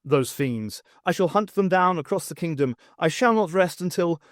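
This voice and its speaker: A man's voice: fairly high pitch, lively intonation, moderate pace, no echo, studio quality, close to an everyday voice. A man playing an earnest young fairytale prince in an audiobook, speaking in an ardent, declamatory manner. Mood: gallant resolve.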